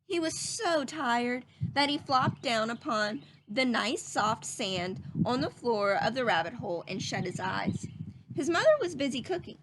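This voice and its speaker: A woman's voice: fairly high pitch, expressive, unhurried, noisy background. A woman reading a children's story aloud in a teacherly, explanatory way, as if speaking to young listeners.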